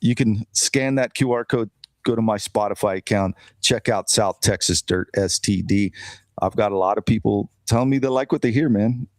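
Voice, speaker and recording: A man's voice: fairly low pitch, no echo. A man co-hosting a podcast, casually plugging sponsors and his band, often saying bro and man. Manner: easygoing, says bro and man, quick jokes. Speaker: podcast co-host. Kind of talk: casual podcast chat, sponsor shout-outs.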